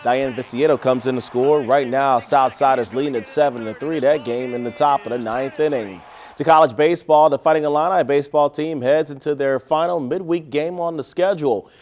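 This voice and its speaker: Male sports anchor, mid-range voice, scripted highlights for a TV newscast. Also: darkish tone.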